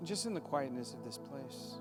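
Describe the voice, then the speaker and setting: A man leading a closing prayer in a gentle, quiet pastoral manner. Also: medium pitch, animated, moderate pace. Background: soft ambient worship music.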